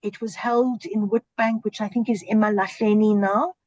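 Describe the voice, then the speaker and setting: A woman's voice: mid-range voice, fairly even intonation, normal speed, darkish tone, dry recording, lo-fi sound. A woman, a senior broadcast journalist, speaking earnestly and emphatically in a panel discussion over a video call. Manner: earnest, emphatic, lists and repeats for stress.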